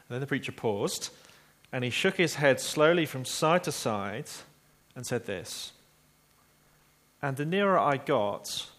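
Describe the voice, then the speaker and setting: A man preaching a sermon, telling a story earnestly and slowing down quietly for emphasis. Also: medium pitch.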